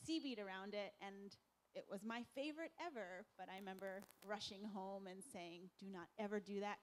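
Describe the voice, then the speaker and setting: A woman's voice: mid-range voice, a little hiss or hum, poor audio. A young woman answering questions on a discussion panel, talking with lots of fillers and often laughing as she tells her story. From Japan and New Jersey. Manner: laughs often while recounting, many fillers. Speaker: panelist filmmaker.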